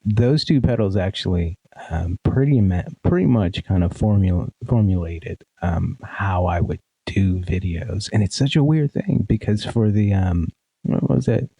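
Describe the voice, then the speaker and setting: A man guesting on a podcast, talking in a relaxed way about his work, with a sleepy, nasal voice and many fillers. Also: sits low, a little slow, vocal fry, husky, dry recording, poor audio. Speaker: guest, pedal demo creator. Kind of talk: casual interview.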